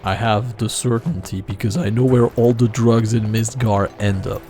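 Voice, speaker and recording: Guy streaming a game, wry muttered comments, gravelly voice, bit of music behind. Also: fairly low pitch, fairly even intonation, normal speed, dark tone. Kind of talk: casual game commentary and reading aloud.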